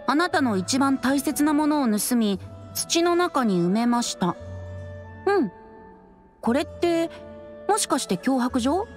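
A boyish young bard in an anime game, breezy and gentle. The voice is high and lively in pitch, with faint music behind it. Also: female voice, a little slow, pauses often. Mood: curious.

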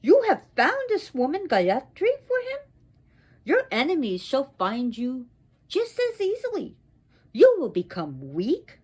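Imperious, indignant goddess of myth, performed by a female storyteller; high, emphatic, deliberate. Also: expressive, warm tone, slightly rough, exaggerated voice. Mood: wrathful cursing. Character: furious, imperious wronged goddess pronouncing curses. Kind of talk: oral mythological storytelling.

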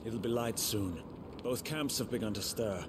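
An actor playing a grim fantasy soldier in a video game cutscene. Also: male voice, fairly low pitch, moderate pace, background noise, lightly acted. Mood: grim, watchful. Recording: loud game score behind dialogue.

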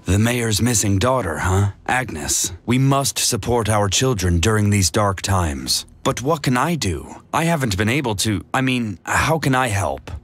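A man's voice: lowish voice, expressive, unhurried, hardly any pauses, fairly bright tone, a little hiss or hum. An actor playing a mild, middle-aged male inventor in a video game, speaking politely with a hesitant manner. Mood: hesitant, helpful. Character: mild, apologetic male inventor and anxious husband.

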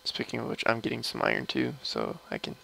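A young man with a low voice, rambling casually through gameplay commentary at his computer. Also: big pitch swings, sharp tone, a little hiss or hum, rough recording. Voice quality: low, gravelly voice. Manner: slow, mumbly and rambling, trailing off. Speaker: gamer streaming a mining session.

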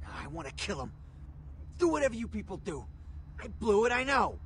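Pained, beaten gangster, high-strung. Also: male voice, sing-song, normal speed, a bit bright, a little hiss or hum, exaggerated voice. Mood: pleading. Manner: groaning, pained, gritty streetwise talk. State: in pain, straining. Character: badly beaten, profane gangster ghoul, nearly sixty, bitter and pleading. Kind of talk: video game voice acting.

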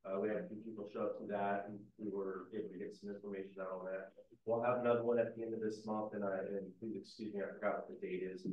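Male commander, lowish voice, off-mic and roomy, dull sound, halting update. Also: flat delivery, far from the mic, a little hiss or hum. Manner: self-described loud voice, halting with fillers. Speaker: police commander. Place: council chambers.

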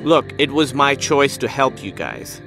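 Weary, resigned survivor. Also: male voice, medium pitch, very animated, unhurried, bright, forceful tone, soft background music, full character voice. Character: weary, resigned, kindly injured male survivor. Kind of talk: naturalistic video game acting.

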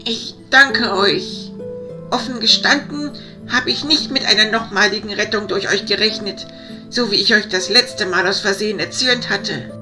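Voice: mid-range voice, normal speed, natural voice. An actor voicing an earnest, courteous fantasy hero in an audio drama, speaking formally. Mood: grateful, earnest. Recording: music behind the voice.

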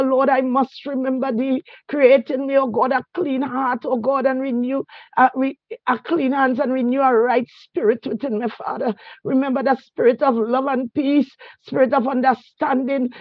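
A man leading a spontaneous spoken prayer, pleading fervently and closing each phrase with a softer "oh God" or "Lord". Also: very high voice, dry recording. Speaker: prayer leader. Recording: dull, dry radio recording.

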